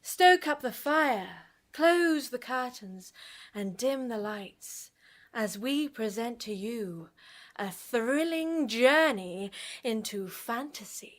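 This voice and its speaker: An actress as a theatrical radio horror hostess, rather high in voice and slow. She is bewitching and conspiratorial, drawing words out with grand relish like a presenter of old-fashioned radio drama. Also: expressive. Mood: grandly inviting. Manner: dramatic, relishing, drawn-out delivery.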